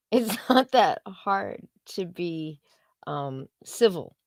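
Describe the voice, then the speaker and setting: A woman's voice: on the low side, very animated, drawn-out words, no echo. A woman co-hosting a livestream in casual, opinionated chat, blunt and freely swearing. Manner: blunt, profane. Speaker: co-host of a livestream talk show.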